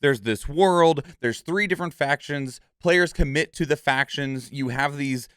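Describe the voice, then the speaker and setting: A man's voice: a little high, measured pace, a bit bright, clean tone, no room sound, clean studio sound. A man hosting a reaction video with casual, off-the-cuff commentary in a blunt, sardonic manner.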